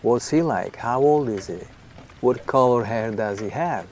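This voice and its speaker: An actor in an audio drama playing a genial, self-absorbed middle-aged writer who chats sociably. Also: male voice, mid-range voice, measured pace, darkish tone, a little hiss or hum, lightly acted. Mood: chatty, musing.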